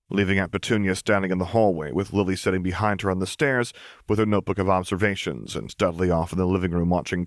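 A man's voice: low-pitched, no echo, studio quality. A man reading fiction aloud as a narrator, in scripted storytelling style. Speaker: narrator, audiobook reader.

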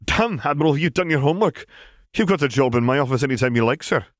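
A man's voice: mid-range voice, expressive, quick pace, no echo, studio quality, voice pushed up, natural voice. A man voicing a chipper, irreverent male intelligence general with a breezy, blunt manner, in an audiobook. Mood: impressed, amused. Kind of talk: audiobook character voice.

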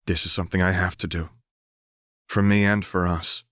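A man reading the part of a gentle, resolute young wife in an audiobook, soft, earnest and sorrowful. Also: low voice, level tone, moderate pace, dry recording, studio quality. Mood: gentle, firm.

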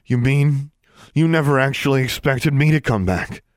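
A man voicing a fierce, grieving teenage girl witch in a storybook reading, with a high strained voice. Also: fairly quick, no echo, clean studio sound, lightly acted. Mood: furious. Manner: high strained voice, shouting to whispering.

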